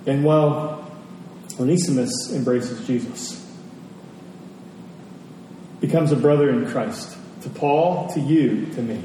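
A man preaching a storytelling sermon to a congregation, deliberate, with dramatic pauses and emphasis. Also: mid-range voice, dark tone. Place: large indoor church space. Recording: very echoey hall, distant mic, faint noise.

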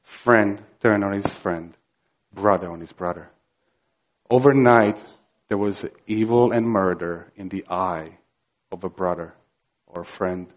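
A man's voice: low-pitched, muffled recording. Earnest Croatian-accented preacher man. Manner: earnest, slow and emphatic, rising to fervent. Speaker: pastor. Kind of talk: sermon.

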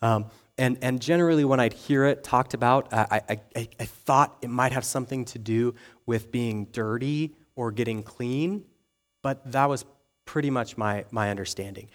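A young adult man preaches to his church in a loose, conversational way, poking fun at himself and often checking in with the room. His voice sits at an ordinary middle pitch. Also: normal speed. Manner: conversational, self-deprecating, frequent "okay?" and "right?". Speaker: pastor. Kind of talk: sermon.

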